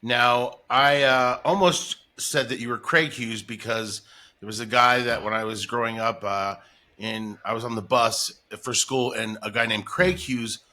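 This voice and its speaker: Bright-voiced man bantering casually. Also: mid-range voice, fairly even intonation, unhurried. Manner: jokey, exclamatory. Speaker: podcast host.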